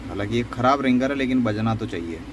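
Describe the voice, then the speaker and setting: Man explaining over clear background noise. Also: mid-range voice, expressive, fast. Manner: explanatory, conversational, repeats himself. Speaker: phone repair tutorial host. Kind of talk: unscripted step-by-step repair demonstration.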